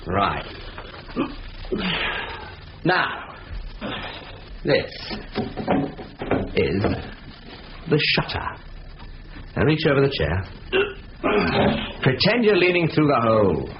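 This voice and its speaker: Orderly gang boss, muffled old radio. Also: male voice, mid-range voice, wildly swooping pitch, very slow, long pauses, heavy background noise, heavily acted. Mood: instructing. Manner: instructing, encouraging, in short clipped phrases. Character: orderly, patient ringleader briefing his gang of thieves. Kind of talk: 1970s radio drama acting.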